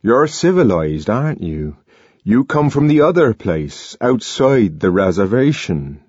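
A man's voice: on the low side, big pitch swings, measured pace, a little mellow, breathy edge, no echo, dull audio, full character voice. A man voicing an earnest, emotional young man who speaks in an intense, trembling way. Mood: impassioned.

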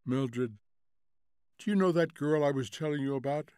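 An agitated husband from a storybook reading, acted by a man. He presses with urgent, incredulous questions, his pitch raised in frustration. The sound is dry and close. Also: smooth, dry recording, close to an everyday voice. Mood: quiet, insistent. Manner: rapid-fire incredulous questioning, shouting when frustrated.

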